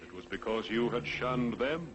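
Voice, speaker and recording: An actor playing a solemn, stern spirit with a grave, measured, formal delivery in 1940s television drama. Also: male voice, mid-range voice, animated, natural voice, poor audio. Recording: old 1940s television soundtrack, loud music behind.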